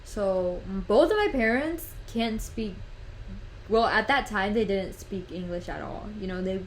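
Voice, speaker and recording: A woman's voice: medium pitch, measured pace, a little hiss or hum, low-quality recording. Chatty young woman, full of "like"s. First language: Spanish. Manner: rambling, fillers of "like" and "literally". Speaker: podcast host. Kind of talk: casual podcast chat.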